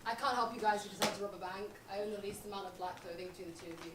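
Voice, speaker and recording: An actress playing a laid-back young woman roommate in a live stage comedy, making easygoing deadpan jokes. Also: medium pitch, very fast, lightly acted. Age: young adult. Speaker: stage actor. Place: small theatre stage. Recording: roomy stage, distant mic, background noise.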